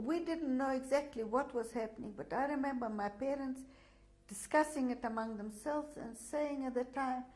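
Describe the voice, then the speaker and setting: A woman's voice: high voice, a little mellow, low-quality recording. Older woman, hesitant testimony. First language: Russian. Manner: hesitant, many fillers and restarts. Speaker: interviewee. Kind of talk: oral history testimony.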